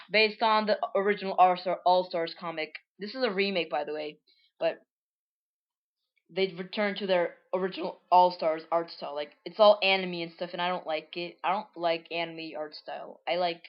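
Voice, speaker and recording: A woman's voice: mid-range voice, highs cut off. A woman casually reading aloud her old comic and commenting on it, rambling and correcting herself as she goes. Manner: rambling, self-correcting, chatty.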